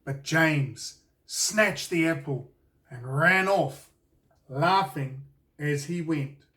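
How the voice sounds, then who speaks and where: Kiwi guy reading a kids picture book, slow n expressive, highish voice, bit far from the mic. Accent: New Zealand. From New Zealand. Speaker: children's author reading his own book. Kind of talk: scripted storybook narration for children.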